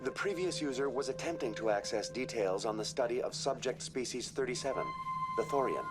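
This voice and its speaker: An actor playing a polite, formal computer assistant hologram in a video game, precise and unruffled. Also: male voice, mid-range voice, a little fast, over loud music, lightly acted. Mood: officious, unruffled.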